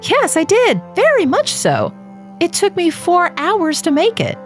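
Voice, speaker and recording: A high, lively housewife's voice from an actress in an audio horror drama. She is unimpressed and nagging, answering in a matter-of-fact way. Faint music sits behind her. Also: measured pace, exaggerated voice. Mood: exasperated, patient. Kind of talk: audio horror anthology acting.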